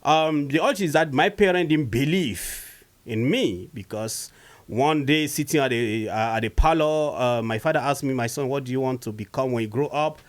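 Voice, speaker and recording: A man from Cameroon, a comedian guest, telling his story in a casual, lively way. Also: normal speed. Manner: animated, rising pitch when retelling stories.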